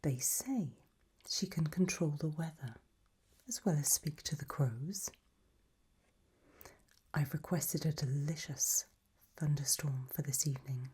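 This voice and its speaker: A woman playing an elegant, macabre aristocratic lady in a whispered ASMR roleplay, breathy, languid and serenely gracious. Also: low voice, dark tone, heavily acted. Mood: gracious, darkly pleased. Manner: slow, languid, genteel.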